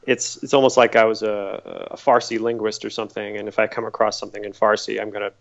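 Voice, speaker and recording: A middle-aged man from Massachusetts whose voice has a gravelly, rough edge. He answers interview questions as easygoing stories, often repeating a word while he gathers his thoughts. The sound is slightly dull and lo-fi. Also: fairly low pitch, fast. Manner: relaxed storytelling, repeats words while thinking. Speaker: podcast guest.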